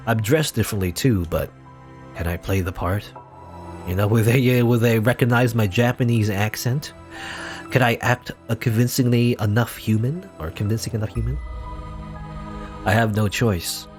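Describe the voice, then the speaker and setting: A man voicing a brooding male beast-man who hides among humans, speaking in low, hushed, anxious tones. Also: moderate pace, faint music behind, close to an everyday voice.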